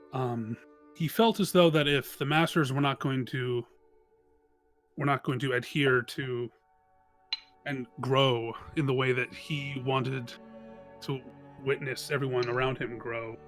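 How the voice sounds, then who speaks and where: Male tabletop player, slow and searching as he introduces a character, soft music underneath. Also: mid-range voice. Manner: halting, searching for words.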